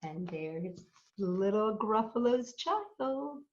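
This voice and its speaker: A woman's voice: mid-range voice, lively intonation, very slow. A woman hosting a children's storytime, reading aloud expressively and speaking directly to young listeners. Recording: echoey room, muffled narrow sound.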